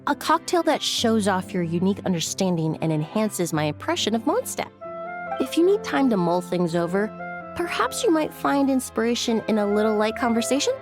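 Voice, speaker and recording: A woman's voice: mid-range voice, very animated, a little fast, natural voice. An actress playing a refined, courteous young woman in a video game, speaking with polite, precise formality. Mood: thoughtful, appraising. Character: refined, courteous young female perfumer with discerning tastes. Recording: faint music behind the voice. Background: faint game music.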